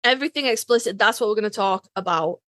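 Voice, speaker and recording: A woman's voice: medium pitch, fast, fairly bright tone, no room sound, clean studio sound. A woman co-hosting a podcast in casual fan chat, informal and emphatic, repeating phrases to drive a point home. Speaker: podcast co-host.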